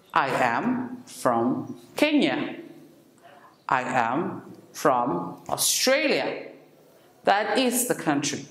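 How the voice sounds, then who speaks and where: A woman teaching an English lesson, explaining step by step and repeating example words slowly for learners. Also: extremely low pitch. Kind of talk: recorded classroom lesson. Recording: echoey room.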